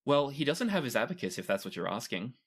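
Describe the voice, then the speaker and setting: Game master with a mid-pitched voice. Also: sing-song, quick pace, slightly rough. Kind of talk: narrating a tabletop roleplay game.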